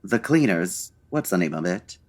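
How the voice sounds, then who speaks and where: An actor playing a suave, wry 1940s gentleman detective in a comedic radio drama, with dry, urbane and formal delivery. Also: sits low, very animated, moderate pace. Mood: dry, composed. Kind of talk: comedic old-time radio drama acting.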